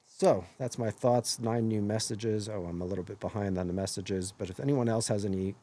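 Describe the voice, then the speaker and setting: Hoarse man on a home live stream. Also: on the low side, brisk, mellow. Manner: earnest, rambling, often self-correcting. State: hoarse after illness. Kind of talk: casual live-stream monologue.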